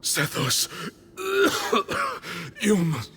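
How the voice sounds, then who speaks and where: An actor playing an ailing, fervent old temple leader in a video game, frail and grandiose, halting as he speaks. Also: very high-pitched, wildly swooping pitch, bright, forceful tone, slight background noise, full character voice. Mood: failing, urgent. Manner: slow, grandiose, halting with coughs. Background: background music.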